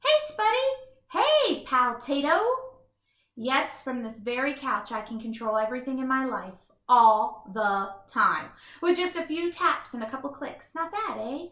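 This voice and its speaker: Woman playing a smug couch potato, high swooping voice, exclamatory, theatrical, children's storybook, roomy echo. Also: lively intonation, a little slow, a bit bright. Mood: pleased. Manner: exclamatory, theatrical, self-satisfied. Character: comfort-loving, boastful, easily thrilled male couch potato. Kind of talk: first-person children's storybook read-aloud.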